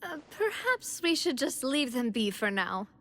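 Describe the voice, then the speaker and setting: A woman's voice: high voice, big pitch swings, measured pace, bright, forceful tone, exaggerated voice. An actress playing a thoughtful young woman in video game dialogue, reasoning aloud in an earnest way.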